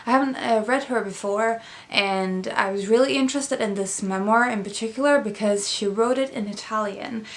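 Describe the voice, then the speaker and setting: A woman's voice: fairly high pitch, moderate pace, few pauses. A young woman, a native Swedish speaker, talking casually and thoughtfully about books she has read, with many fillers and restarts. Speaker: book vlogger. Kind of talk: casual book review chat.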